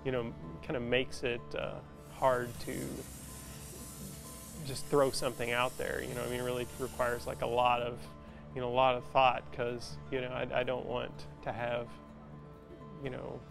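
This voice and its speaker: A man in his forties, a metal artist, talking reflectively and haltingly in a documentary interview, with lots of casual fillers, on a lapel mic. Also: mid-range voice, monotone, creaky. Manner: halting, thoughtful, filler-heavy casual speech with many "you know"s. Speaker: metal sculptor being interviewed. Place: large indoor metal workshop. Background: instrumental music.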